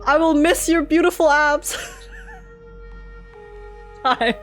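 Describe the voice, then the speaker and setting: A woman streamer giving casual, excited gaming commentary, gushing and repeating phrases for emphasis in informal speech. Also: very high-pitched, drawn-out words, soft background music. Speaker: streamer reacting to a video game.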